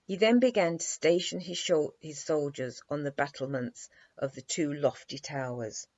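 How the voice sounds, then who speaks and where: A woman reading a children's bedtime story aloud in an animated, measured storytelling manner. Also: deep voice, dry recording. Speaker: storybook reader. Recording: dry, slightly dull lo-fi recording.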